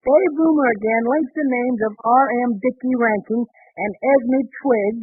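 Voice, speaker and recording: Over a tinny old radio broadcast comes a grumbling young American husband from a 1930s comedy. His voice is high and somewhat rough, leaping about as he stammers and exclaims in befuddlement. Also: a little slow, no echo, full character voice. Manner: hesitant, exclaiming, comically befuddled. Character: flustered, grumbling young husband and office worker.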